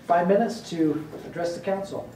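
A man chairing a council meeting in formal procedural language, speaking haltingly with many pauses. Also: mellow. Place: large indoor council chamber. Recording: roomy, distant council chamber pickup, faint rumble.

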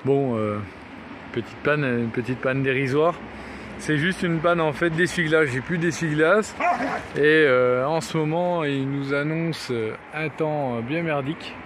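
A man's voice: fairly high pitch, unhurried. Casual young Frenchman vlogging, noisy recording. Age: young adult. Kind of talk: casual vlog chat. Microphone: phone at arm's length.

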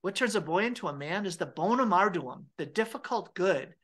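A man's voice: high voice, animated, a little fast, a bit bright. A middle-aged man hosting an interview over a video call from home, speaking earnestly, often repeating his words. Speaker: interviewer, theologian. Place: home room with fireplace.

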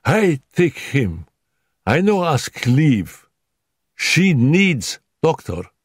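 A man's voice: a little high, big pitch swings, drawn-out words, husky, no echo, studio quality, voice pushed up, heavily acted. A man voicing a frightened, indignant young maid who speaks broken English, in an audiobook reading. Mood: frightened, insistent. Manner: broken English, urgent pleading.

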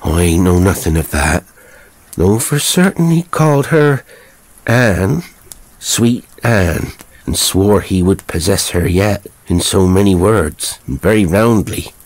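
A man's voice: deep voice, expressive, slow pace, no room sound. A man voicing a shrewd old rustic cottage woman in a horror story, deferential but knowing, feigning stupidity. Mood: feigned ignorance.

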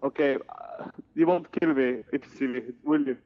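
A man's voice: mid-range voice, normal speed, phone-quality sound. A young man from Sweden talking casually in an online game's voice chat through a gaming headset. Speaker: player in an online game.

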